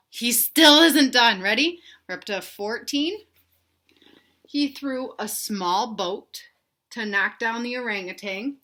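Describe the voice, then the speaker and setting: A woman's voice: mid-range voice, bright, forceful tone. A woman reading a picture book aloud to young children, expressively, with simple explanations and questions to the listeners. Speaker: read-aloud storyteller for children.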